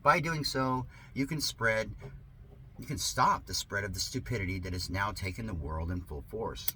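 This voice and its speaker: A man speaking as an online host in a halting, emphatic, theatrical way, in the manner of someone sharing and reading satire aloud. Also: lowish voice, bright, forceful tone, poor audio. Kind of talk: rambling talk and dramatic sarcastic reading. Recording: noticeable background noise.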